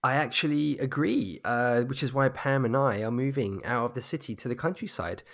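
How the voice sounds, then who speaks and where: A man's voice: mid-range voice, normal speed, fairly bright tone, lightly acted. A man playing an anxious, politely evasive bereaved husband in an audio drama, speaking hesitantly. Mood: polite, guarded.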